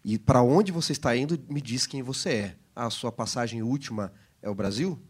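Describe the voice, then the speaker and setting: A man's voice: mid-range voice, moderate pace, slightly rough. A man with a Brazilian Portuguese accent, a pastor co-hosting a Bible lesson program, explaining scripture in a teacherly way. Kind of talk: Bible study discussion.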